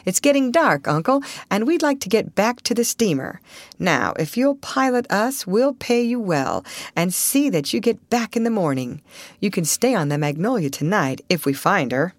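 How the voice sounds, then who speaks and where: A woman's voice: medium pitch, very animated, normal speed, no echo, voice pushed up. A woman voicing an eager, polite, earnest young man in a storybook reading.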